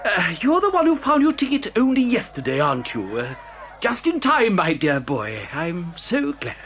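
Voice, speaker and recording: A man's voice: high-pitched, wildly swooping pitch, moderate pace, a bit bright, heavily acted. A man playing an eccentric, gleeful chocolate factory owner in an audio dramatisation, effusive, stammering and theatrical. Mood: effusive welcome.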